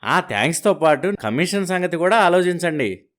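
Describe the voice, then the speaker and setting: An actor playing a polite, flattering marriage broker who angles for money in a cartoon story. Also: male voice, fairly high pitch, normal speed. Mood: ingratiating. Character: ingratiating marriage broker angling for commission.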